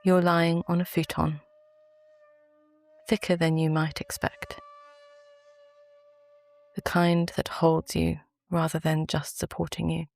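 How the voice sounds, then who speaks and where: A woman with a British accent gently narrates a guided sleep meditation in a soft, calm, soothing voice. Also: on the low side, takes it slowly, creaky, long pauses, soft background music.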